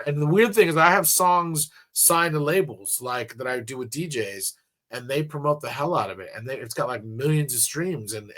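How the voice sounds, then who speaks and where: A male radio host who talks in long, loose runs, padding his thoughts with fillers and restarting sentences. His pitch sits in an ordinary middle range, neither high nor low. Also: bright, forceful tone. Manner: rambling, many fillers and restarts. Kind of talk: casual interview chat.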